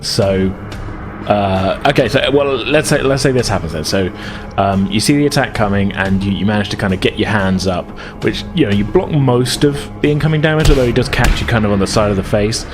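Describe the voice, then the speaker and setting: A man's voice: on the low side, a little fast, over music. A man acting as game master, narrating a tabletop game in an improvised, hesitant, conversational way.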